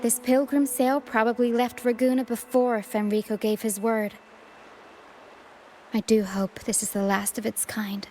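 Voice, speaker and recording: A woman's voice: a little high, level tone, measured pace, warm tone, a little hiss or hum, lightly acted. A woman voicing a caring, dutiful young female first mate in a video game. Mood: reassuring, earnest.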